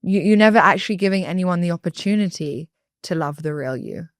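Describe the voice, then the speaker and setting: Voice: medium pitch, brisk, no echo, clean studio sound. A podcast co-host who talks earnestly and reflectively, often explaining with a filler "like". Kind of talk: casual relationship podcast chat.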